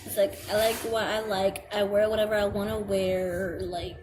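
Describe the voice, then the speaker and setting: A young woman with a girlish voice reads a checklist aloud and comments on it hesitantly, stumbling over words and filling pauses. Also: mid-range voice, little pitch movement. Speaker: vlogger. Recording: home video with clear background noise.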